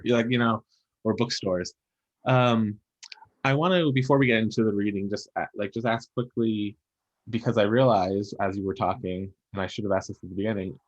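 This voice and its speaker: A man with a fairly low voice moderates a panel as a podcast host. He talks casually and conversationally as he puts questions to his guests.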